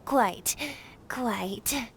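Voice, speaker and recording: A woman's voice: fairly high pitch, very slow, vocal fry, a little hiss or hum, full character voice. A woman voicing a playful, boyish young male bard in a video game, whimsical and theatrical with flowery phrasing.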